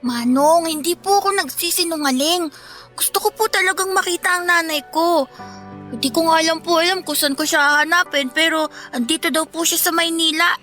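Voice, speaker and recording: A woman's voice: very high-pitched, brisk, bright, forceful tone, soft background music. A woman with a Filipino accent playing an earnest, polite orphaned runaway boy in a radio drama, with a childlike voice. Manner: polite, deferential.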